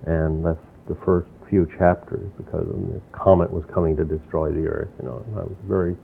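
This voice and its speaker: An older man from New York with a very low, gravelly voice tells long, wandering stories, circling back and restarting his sentences. The recording is thin and tinny like an old phone line, with a faint hiss underneath. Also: fairly even intonation, fairly quick. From New York, USA. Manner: rambling, reflective storytelling with restarts. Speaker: interviewee. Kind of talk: oral history interview.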